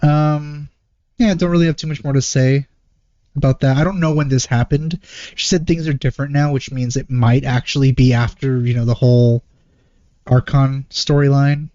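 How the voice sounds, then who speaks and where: A man giving casual reaction commentary as a video host, in a hesitant, low-key manner. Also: steady tone, moderate pace, warm tone, no echo, muffled recording. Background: game trailer music.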